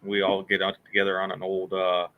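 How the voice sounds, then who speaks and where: A man's voice: low-pitched, monotone, brisk, narrow, tinny audio. A man co-hosting a casual podcast, a military veteran who talks in an easygoing, rambling way and chuckles often. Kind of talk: casual chat.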